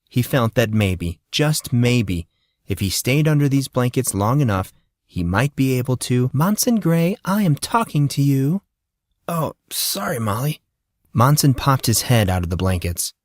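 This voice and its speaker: A man narrating scripted fiction as an audiobook storyteller. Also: on the low side.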